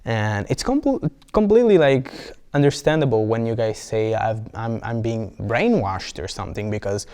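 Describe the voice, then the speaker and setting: A man's voice: lowish voice, expressive, moderate pace, a little mellow, smooth. Rambling Brazilian man vlogging. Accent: Brazilian Portuguese. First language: Portuguese. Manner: rambling and playful, with restarts and many "like"s. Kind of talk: casual talk to camera.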